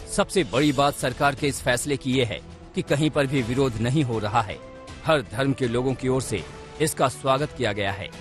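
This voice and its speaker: A man reporting a news story in a steady, formal voice-over. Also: mid-range voice. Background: faint music bed.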